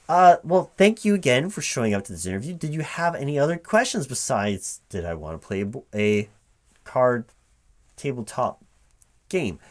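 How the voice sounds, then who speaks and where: A man playing a polite, flustered hiring manager in an improvised interview roleplay, speaking aloud in a halting, rambling, stammering way. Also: medium pitch. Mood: awkwardly polite. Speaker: recruiting manager in a one-sided roleplay.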